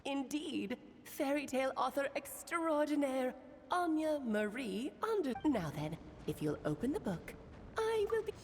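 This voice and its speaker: A high-voiced, theatrical, playful mage. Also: female voice, big pitch swings, a little slow, darkish tone, soft background music, full character voice. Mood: grandly playful. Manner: teasing, dramatic, flowing flourishes. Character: playful, theatrical, grandiose woman mage and storyteller. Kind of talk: video game voice acting.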